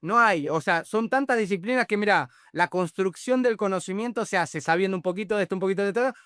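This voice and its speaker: High-voiced man hosting a podcast chat. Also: quick pace, fairly bright tone, clear, resonant tone, dry recording, studio quality. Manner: rambling, mixes in Portuguese words. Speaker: podcast host. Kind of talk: casual interview chat.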